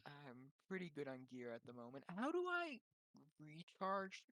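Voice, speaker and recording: A man chatting casually as a player in an online tabletop game, halting and repeating short phrases. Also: on the high side, huge pitch swings, sharp tone, no room sound.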